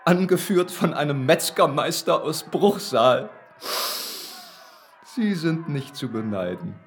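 Sardonic, self-assured professor in the dock. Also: male voice, on the high side, expressive, slow, slight background noise. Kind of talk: German radio play acting.

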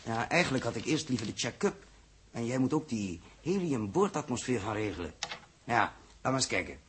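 A man's voice: medium pitch, big pitch swings, brisk, a bit bright. A man acting a blunt, principled spaceship commander in a 1960s Dutch science fiction radio drama. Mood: tense, businesslike. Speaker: spaceship captain. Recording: noisy old radio drama recording, clicks.

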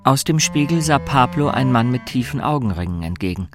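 A man's voice: mid-range voice, animated, fairly quick, music in the background. A man narrating a literary radio drama in a scripted, storytelling style.

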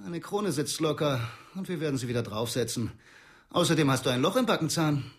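An actor playing a brisk, cold male dentist who gives curt commands, in a radio drama. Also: fairly high pitch, lively intonation, close to an everyday voice.

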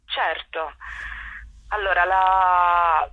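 A woman's voice: lowish voice, fairly even intonation, drawn-out words. A woman giving a radio interview as a guest over the telephone, hesitant and searching for words. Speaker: theatre performer interviewed as guest. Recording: dull lo-fi telephone line.

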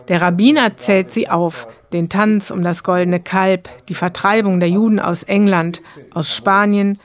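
A woman reporter reading the scripted narration of a radio documentary in a calm, even way. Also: mid-range voice.

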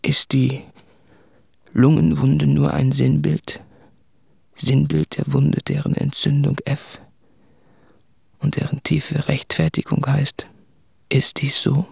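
An anguished, husky, creaky-voiced writer. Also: male voice, on the high side, darkish tone, full character voice. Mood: bleak, confessional. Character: anguished, guilt-ridden male writer addressing his fiancée. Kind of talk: literary radio play monologue from letters and diaries.

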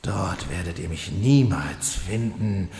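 An actor playing a brooding, theatrical fantasy villain with a gravelly, hammy voice in a comedic radio play. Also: male voice, low-pitched, animated, takes it slowly, mellow, full character voice. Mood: scheming. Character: imprisoned demon-hunter villain, brooding and theatrical. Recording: echoey long reverb.

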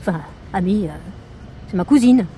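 An actress playing a haughty, talkative witness, prim, condescending and indignant, in a naturalistic fiction podcast. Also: female voice, medium pitch, expressive, normal speed, dark tone, a little hiss or hum, close to an everyday voice. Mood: complaining, indignant. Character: haughty, fussy, talkative female police witness.

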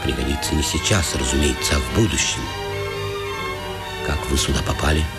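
Low-voiced, guarded prison warder. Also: male voice, fairly even intonation. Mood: guarded, confiding. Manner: guarded, deliberate. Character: prison warder secretly helping prisoners, guarded, measured, discreet. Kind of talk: 1950s Soviet radio drama acting. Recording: old radio drama under loud music.

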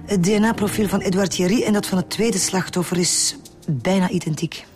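A composed, clinical forensic doctor acted by a woman with a medium-pitched voice. She reports in radio drama style over faint music. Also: brisk, lightly acted.

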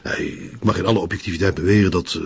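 An actor playing a jovial, fawning, middle-aged Flemish police commissioner in a TV drama, speaking hesitantly and self-importantly with little chuckles. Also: male voice, sits low, fairly even intonation, fast talker, slightly rough. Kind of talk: naturalistic TV drama acting. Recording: dull band-limited TV audio.

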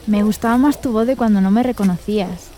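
Radio drama lover, female role for an actress, Castilian accent from Spain, relaxed dark timbre, playful and flirty. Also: medium pitch, fairly quick, natural voice. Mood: teasing, flirtatious. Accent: Spanish, from Spain. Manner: teasing, coquettish. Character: playful, teasing Spanish woman flirting with a lover. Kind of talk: radio drama acting, intimate phone conversation.

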